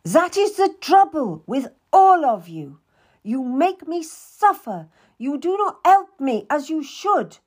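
A woman voicing an imperious, volatile young noblewoman who scolds in slow, drawn-out accusations. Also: sits high, big pitch swings, pitched above the performer's own voice, full character voice. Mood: furious.

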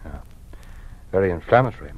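A husky, patronizing male official. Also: low voice, expressive, brisk, no echo, phone-quality sound. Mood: stern. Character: stern male official running a loyalty hearing. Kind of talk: 1950s radio drama acting.

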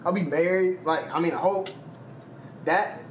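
A man's voice: on the high side, measured pace, slight background noise. A man in his twenties co-hosting a podcast, chatting casually with friends. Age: 20s. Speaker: podcast co-host. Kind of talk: casual chat.

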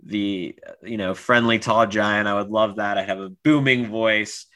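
A man's voice: fairly low pitch, steady tone, measured pace, pushed and bright. A man co-hosting a podcast in casual chat, easygoing and hedging as he talks. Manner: easygoing, hedging with lots of "like" and restarts. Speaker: podcast co-host.

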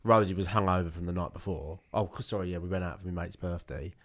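A man's voice: low-pitched, fairly even intonation, fast talker. A young man, co-hosting a podcast in casual banter, rambling, laughing often and restarting his sentences. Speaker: podcast co-host.

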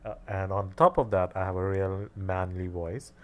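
A man's voice: sits low, fairly even intonation, normal speed. A man with an Indian English accent, a guest chatting casually and playfully, laughing often. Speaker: interview guest, cross-dresser. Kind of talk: casual chat.

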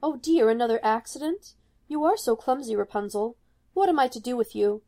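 A woman's voice: a little high, expressive, fairly quick, dark tone. A woman voicing a controlling mother who talks down sweetly. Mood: sweetly scolding. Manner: condescending, falsely sweet. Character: sugary controlling mother, middle-aged woman.

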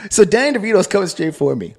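A man co-hosting a podcast, telling comedic stories in a casual, profane, animated way and laughing often. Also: high voice, fast, husky. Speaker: podcast co-host.

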